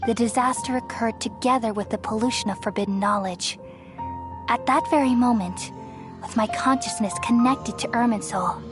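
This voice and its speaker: A woman voicing an ancient, motherly goddess of wisdom who speaks calmly and soothingly in a game cutscene. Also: on the high side, natural voice. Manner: calm, measured and soothing. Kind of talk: video game cutscene dialogue. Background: game score music.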